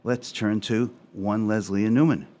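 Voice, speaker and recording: A man hosts a local talk show in a very deep voice. He chats through the news casually, with asides and little fillers along the way. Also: steady tone, moderate pace, mellow. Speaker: radio talk show host. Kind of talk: casual radio talk, reading headlines.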